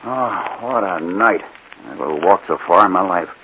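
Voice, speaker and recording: Nervous sidekick, hesitant, low-leaning pitch, muffled sound, 1940s mystery drama. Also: male voice, normal speed, bright, forceful tone. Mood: frightened. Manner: hesitant, uneasy. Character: nervous, hesitant young male sidekick, easily frightened. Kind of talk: 1940s radio mystery acting. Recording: muffled, noisy vintage radio recording.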